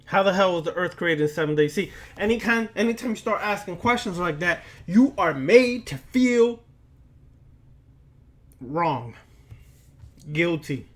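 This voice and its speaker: A man lecturing like a preacher, speaking deliberately and emphatically, with repetition and rhetorical questions. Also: high-pitched, expressive. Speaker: occult lecturer.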